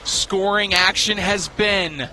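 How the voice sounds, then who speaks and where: High-pitched, emphatic male sports analyst. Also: slow, over music. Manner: dramatic, emphatic explaining of the stakes. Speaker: color analyst sports commentator. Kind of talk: live TV analysis of a cornhole championship. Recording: clear venue noise behind the voice. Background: noisy venue ambience.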